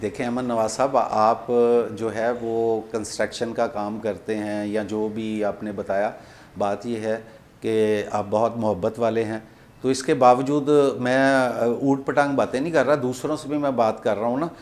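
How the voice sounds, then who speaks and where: A man with a Pakistani accent making a prank phone call, rambling and repeating himself in deliberately confusing improvised banter. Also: lowish voice, steady tone, darkish tone. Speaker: prank caller hosting a comedy show.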